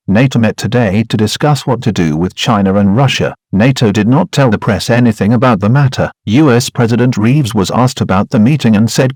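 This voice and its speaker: Wartime news anchor, played by a man, slightly deep, formal scripted delivery, no room sound. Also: a little mellow, dry recording, studio quality, natural voice. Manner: formal, matter-of-fact. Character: matter-of-fact male wartime television news anchor.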